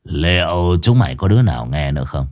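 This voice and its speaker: A man voicing a cold, ruthless middle-aged gang boss who speaks slowly and menacingly. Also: rumbling low, voice pushed down. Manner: slow, menacing, icy calm.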